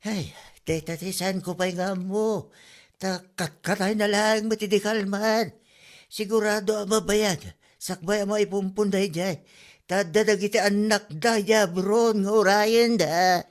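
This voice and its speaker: Man acting slow, high-pitched old Ilocano uncle. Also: fairly even intonation. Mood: explaining. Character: befuddled old village uncle. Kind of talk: comedy audio drama acting.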